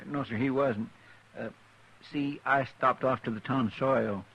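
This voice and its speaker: An actor playing a loyal, plain-spoken frontier deputy with a folksy drawl and country grammar, in a vintage radio drama. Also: male voice, mid-range voice, fairly even intonation, unhurried, a bit bright, breathy edge. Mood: earnest folksy. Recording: dull, hissy old radio broadcast.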